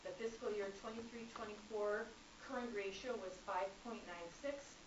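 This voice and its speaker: A woman, an accounting instructor, giving a formal prepared public comment at a college board meeting in a measured, businesslike way. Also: mid-range voice. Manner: measured, businesslike, reading figures. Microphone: speaking without a microphone. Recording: echoey distant room recording, dull, noisy.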